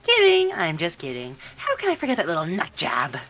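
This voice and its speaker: A woman's voice: lowish voice, wildly swooping pitch, a little fast, a bit bright, faint music behind, full character voice. A woman playing a childish, sadistic mascot creature in a video game, teasing and theatrical, acting as mock-polite master of ceremonies. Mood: gleeful, teasing.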